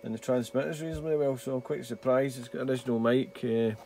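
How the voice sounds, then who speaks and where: A man's voice: mid-range voice, steady tone, fast talker, creaky, dark tone. A man chatting casually and a little hesitantly, unscripted, as he demonstrates radio equipment as a hobbyist. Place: small indoor radio desk. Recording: very noisy, radio static and hiss. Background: CB radio noise.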